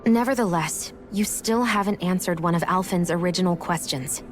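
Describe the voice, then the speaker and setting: Woman as a composed, sharp female adventurer. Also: lowish voice, moderate pace, faint music behind, lightly acted. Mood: serious, probing. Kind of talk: video game cutscene acting.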